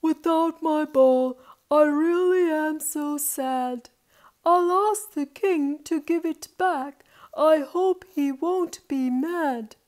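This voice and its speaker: A woman voicing an excitable, playful little boy in a children's storybook, exclaiming dramatically. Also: sits high, takes it slowly, voice pushed up. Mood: woeful.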